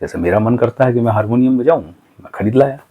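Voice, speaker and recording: A man's voice: mid-range voice, fast talker, dark tone. A man teaching informally and earnestly, offering motivational advice with rhetorical questions and listed examples. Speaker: cartooning teacher and channel host. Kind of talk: informal motivational lesson talk.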